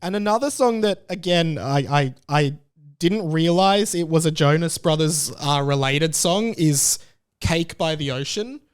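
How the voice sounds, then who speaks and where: A man hosting his own podcast, chatting casually on his own in an animated, rambling way, often restarting sentences and sounding openly incredulous. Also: fairly high pitch, unhurried, clean tone. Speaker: podcast host. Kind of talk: casual solo podcast chat.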